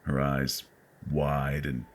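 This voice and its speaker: A man's voice: rumbling low, monotone, slow pace. A man reading a scripted first-person horror story as narrator. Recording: faint background noise.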